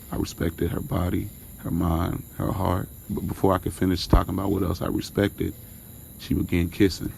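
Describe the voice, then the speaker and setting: A man's voice: sits low, creaky, mellow, slight background noise. A man playing a smitten driver in an audio drama, candidly recounting his night in informal, slangy first-person storytelling. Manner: informal, slangy, acting out dialogue. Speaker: narrator and lead character of an audio drama.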